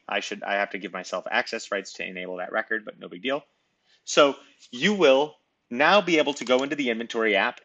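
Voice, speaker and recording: Man walking through a software tutorial step by step. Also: medium pitch. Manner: explanatory, step-by-step, conversational. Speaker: software demonstrator. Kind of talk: screen-recorded tutorial walkthrough.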